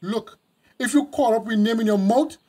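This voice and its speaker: Husky, protective young Caribbean boyfriend. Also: male voice, very high-pitched, moderate pace, warm tone. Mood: threatening. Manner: colloquial Creole, confrontational when crossed. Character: devoted, hot-tempered young boyfriend, swaggering and protective. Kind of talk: radio drama dialogue.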